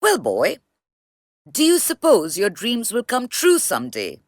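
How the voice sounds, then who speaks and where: A woman reads as an important man used to being obeyed, kindly yet commanding. The delivery is slow and measured with big swings in pitch, in a dry storybook recording. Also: wildly swooping pitch, dry recording, full character voice. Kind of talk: children's storybook read-aloud.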